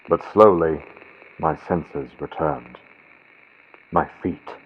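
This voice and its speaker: A man reads a gothic horror story as a dramatic audiobook narrator, with theatrical, suspenseful, deliberate phrasing and pauses for effect. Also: rumbling low, breathy edge. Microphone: built-in computer microphone. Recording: dull lo-fi computer mic, vintage-radio sound, loud noise. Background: loud noise and storm-like ambient sound effects.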